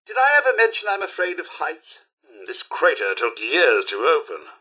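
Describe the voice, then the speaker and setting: Genteel British robot butler, fussy and wistful game companion, high-set voice with a husky edge. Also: male voice, huge pitch swings, normal speed, sharp tone, heavily acted. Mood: chipper, fussy. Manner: genteel, theatrical, old-fashioned politeness. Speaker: companion character in a video game. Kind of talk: scripted game voice lines.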